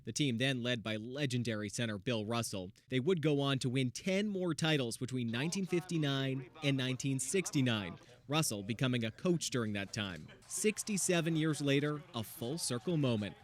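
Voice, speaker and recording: A man's voice: mid-range voice, normal speed, hardly any pauses. A man narrating a scripted TV news feature as a reporter.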